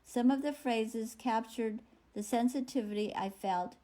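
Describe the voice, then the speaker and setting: Artist, female, voice on the high side, very slow careful delivery of a prepared statement. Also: mellow.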